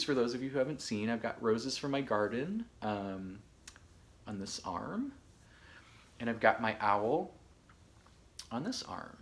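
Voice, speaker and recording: A man in his thirties from Michigan, hosting a podcast in casual solo chat, chatty and a bit hoarse, with lots of filler words. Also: fairly low pitch, pushed and bright. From Michigan, USA. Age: 30s. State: hoarse from allergies. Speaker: podcast host.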